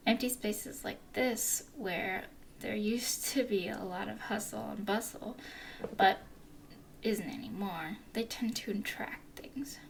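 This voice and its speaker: A woman voicing a flirty, teasing female werewolf explorer in an ASMR roleplay, speaking in a soft whisper with a playful drawl. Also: mid-range voice, takes it slowly, vocal fry, a little hiss or hum.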